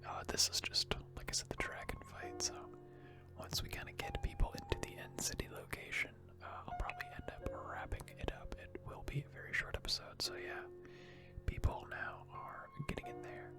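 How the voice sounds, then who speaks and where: A video host whispers gaming commentary for ASMR, with no real voicing, just breath. The talk wanders and hesitates, close to the mic. Music plays clearly underneath. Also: a little fast. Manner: rambling, hesitant.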